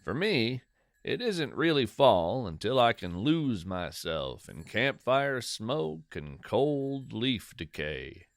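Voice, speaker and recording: A man's voice: mid-range voice, big pitch swings, slow, creaky, dry recording. A man narrating cozy folklore fiction in the first person as a podcast host, musing in descriptive, literary phrases with conversational asides.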